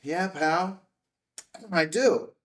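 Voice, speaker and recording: A man's voice: on the high side, extremely slow, fairly bright tone, distant mic. A man playing a weary, grieving widower who works as an investigator, at a film table read. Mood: confrontational, bitter.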